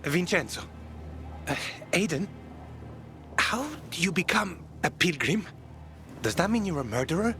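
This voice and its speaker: An actor playing a curious, soft-hearted young son in a video game, speaking earnestly and a little hesitantly. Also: male voice, high-pitched, animated, a little slow, pauses often, faint music behind, exaggerated voice. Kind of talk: naturalistic video game dialogue.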